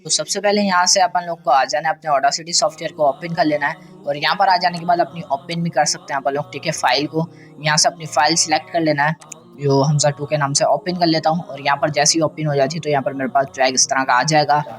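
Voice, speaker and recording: A man hosting a casual, step-by-step software tutorial. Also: a little high, steady tone, fast, soft background music. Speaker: tutorial host.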